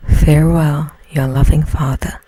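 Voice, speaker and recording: Woman whispering as a breathy, loving father. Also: low-pitched, level tone, unhurried, dark tone, no room sound, poor audio. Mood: sorrowful. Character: weary, loving, self-sacrificing old father.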